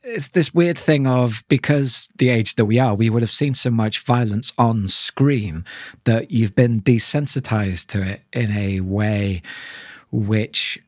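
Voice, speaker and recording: A man's voice: mid-range voice, slow pace, darkish tone, no room sound, clean studio sound. A man co-hosting a podcast, casually discussing an audio drama and thoughtfully rephrasing as he goes. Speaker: podcast co-host.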